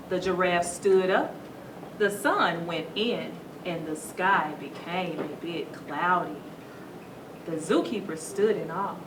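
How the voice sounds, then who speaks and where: A woman reading a children's story aloud to schoolchildren in a teacherly, animated way, stopping to put questions to them. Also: fairly low pitch. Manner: teacherly, asks the listeners questions. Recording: roomy echo, distant mic, noisy background.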